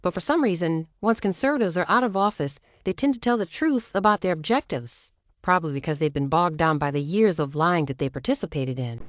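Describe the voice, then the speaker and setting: A woman's voice: fairly low pitch, animated, fairly quick, dry recording. A woman anchoring with scripted political commentary.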